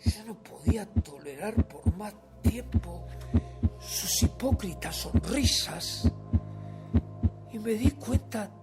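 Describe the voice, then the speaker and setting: A Uruguayan actor playing a paranoid, guilt-ridden murderer in a theatrical radio horror monologue, with intense, halting delivery. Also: male voice, high-pitched, expressive, music in the background, full character voice. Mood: desperate, screaming confession. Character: guilt-maddened murderer confessing, paranoid, increasingly frantic man. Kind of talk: theatrical dramatic reading of a horror story.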